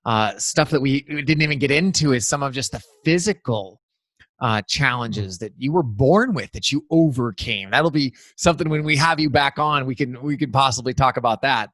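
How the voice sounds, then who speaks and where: A man's voice: medium pitch, normal speed, a bit bright, no echo. A man hosting a podcast, wrapping up an interview and giving an enthusiastic, motivational outro that repeats phrases for emphasis. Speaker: podcast host.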